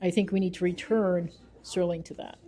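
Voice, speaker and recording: A woman's voice: lowish voice, steady tone, moderate pace, mellow. A woman speaking as an interview guest and researcher, earnest and emphatic, repeating phrases for weight. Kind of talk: thoughtful spoken interview.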